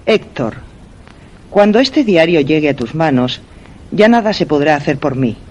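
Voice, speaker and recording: A secretive, teasing wife in a suspense radio play. Her Castilian Spanish is highly animated, rising and falling freely. She sounds evasive and theatrical. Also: moderate pace, low-quality recording. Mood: solemn reading. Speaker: radio drama actress. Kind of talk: suspense radio drama acting.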